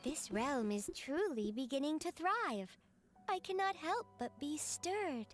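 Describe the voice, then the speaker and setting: A woman's voice: high-pitched, animated, unhurried. A woman voicing a poised, elegant young female character in a fantasy video game. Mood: composed. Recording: game audio with music over it.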